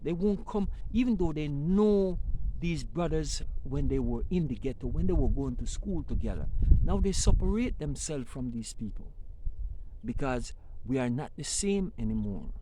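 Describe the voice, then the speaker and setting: Male host, mellow low-bright voice, impassioned preachy delivery, slight background hiss. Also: low-quality recording. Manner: preaching, repetitive, building emphasis. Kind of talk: impassioned community talk.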